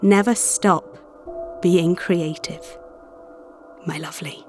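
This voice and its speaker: A woman playing a gentle, protective therapist in an ASMR audio story, earnest and deliberate, with music behind her. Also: lowish voice, fairly even intonation. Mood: tender, reassuring. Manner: soothing, earnest, deliberate with pauses. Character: gentle, protective female visualization therapist guarding imagined minds. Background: background music.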